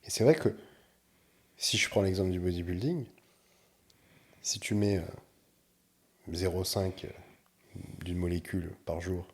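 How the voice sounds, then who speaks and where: A man talking as a podcast guest, animated and explaining his views with examples. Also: low-pitched, a little slow, pauses often. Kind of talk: casual podcast chat.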